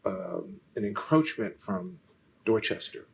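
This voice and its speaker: A soft-spoken man, lecturing and answering questions in a halting way, with many restarts. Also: fairly low pitch, expressive, vocal fry, slight background noise. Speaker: featured lecturer in a Q&A. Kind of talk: unscripted lecture conversation.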